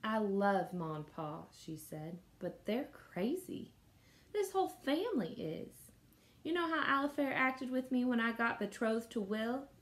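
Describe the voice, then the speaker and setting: A woman's voice: on the high side, very animated, a little slow. A woman in her thirties reading a novel aloud expressively, like a teacher telling a story. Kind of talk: expressive audiobook-style read-aloud of a novel. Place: small indoor room at home. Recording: noticeable room noise.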